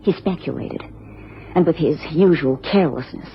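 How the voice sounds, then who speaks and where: A woman's voice: sits low, moderate pace. A woman playing an anxious, genteel American wife in a radio drama, speaking formally and earnestly with careful phrasing. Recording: dull, noisy old radio recording.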